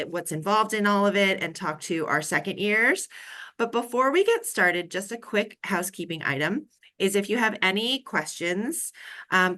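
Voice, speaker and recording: A woman's voice: mid-range voice, sharp tone. A woman moderating an online panel as a career coach, speaking to an audience and to panelists.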